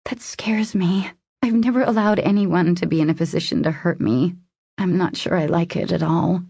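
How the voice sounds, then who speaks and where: A woman narrator voicing a guarded, vulnerable woman in an audiobook romance, speaking softly and hesitantly as she confides. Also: lowish voice, a little fast, gravelly, no room sound, clean studio sound. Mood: tender, afraid. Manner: hesitant, confessional, soft. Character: vulnerable, guarded young woman opening up to her lover.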